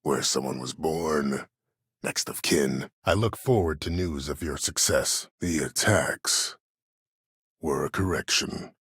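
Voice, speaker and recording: Imperious, calculating older male commander for a video game: extremely deep, rough-edged, coldly measured and formal. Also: fairly bright tone, no room sound, studio quality, exaggerated voice. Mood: composed, persuasive, then stern, bitter. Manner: measured, formal, deliberate, menacing. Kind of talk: video game character dialogue.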